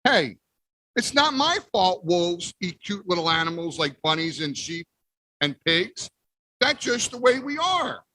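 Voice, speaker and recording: A man reading a picture book aloud as the wolf, playful with big pauses. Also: high-pitched, very animated, sharp tone, no room sound. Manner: playful, exaggerated sneezes and storyteller pauses. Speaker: guest reader. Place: library event.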